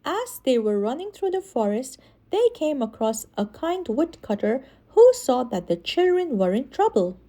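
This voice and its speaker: A woman reading a children's story aloud in an expressive way, with animated, dramatic emphasis. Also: sits high, moderate pace. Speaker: children's story reader and channel host.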